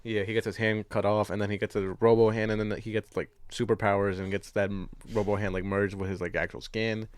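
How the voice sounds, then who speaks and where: A man's voice: on the low side, level tone, fairly quick, hardly any pauses, no room sound. A man co-hosting a podcast, talking casually about movies in a rambling, jokey way, repeating himself and swearing freely. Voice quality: slangy, profane. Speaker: podcast co-host. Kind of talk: casual film chat.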